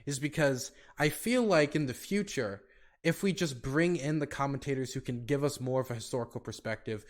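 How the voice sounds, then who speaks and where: A man's voice: mid-range voice, fairly quick. An American man hosting a podcast, talking casually and rambling with lots of fillers and restarts. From United States. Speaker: podcast host.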